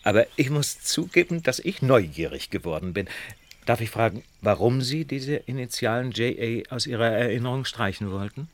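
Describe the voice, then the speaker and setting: An actor playing a polite young detective in a radio drama, precise, measured and analytical. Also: male voice, mid-range voice, lively intonation, close to an everyday voice. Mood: polite, curious. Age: young adult. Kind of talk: German radio drama acting.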